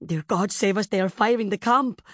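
A woman's voice: medium pitch, fairly quick, no room sound, clean studio sound, close to an everyday voice. A woman voicing a panicked servant nurse in an audiobook, frantic and breathless. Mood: gasping terror.

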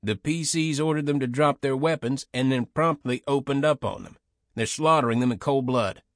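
Man voicing urgent, slightly raised-pitch military officer. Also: normal speed, dry recording, studio quality, natural voice. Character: urgent, loyal male military officer, ex-commando.

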